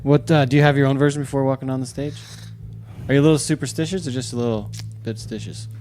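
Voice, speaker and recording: Casual male podcast host, medium pitch. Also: steady tone, fast, darkish tone, soft background music. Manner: informal, rambling questions. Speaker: podcast host, former bull rider. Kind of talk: casual interview chat.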